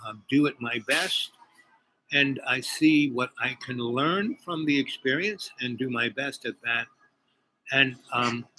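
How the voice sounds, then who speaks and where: A man's voice: medium pitch, sharp tone, low-quality recording. A man hosting a casual spiritual chat, rambling with many fillers and restarts. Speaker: podcast host.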